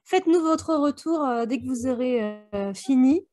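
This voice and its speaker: A woman in relaxed, informal fan discussion as a book club co-host, speaking slangily. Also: sits high, moderate pace, faint music behind. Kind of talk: casual chat, fan discussion.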